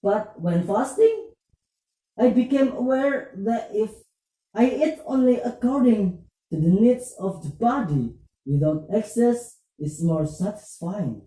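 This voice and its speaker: A puppet show presenter, speaking scripted religious dialogue in halting, deliberate English. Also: mid-range voice, expressive, mellow. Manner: halting, deliberate phrasing in non-native English. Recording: roomy echo, distant muffled microphone.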